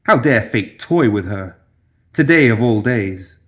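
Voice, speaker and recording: Low-voiced man narrating dark fantasy. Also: expressive, measured pace. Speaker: audiobook narrator. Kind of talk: dramatic scripted fantasy narration.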